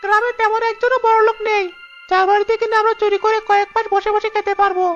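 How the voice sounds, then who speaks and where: An actor voicing a squeaky village thief boss in a cartoon, boastful yet hesitant and excitable. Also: fast. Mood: complaining. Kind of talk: cartoon dialogue. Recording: dull lo-fi audio with music behind.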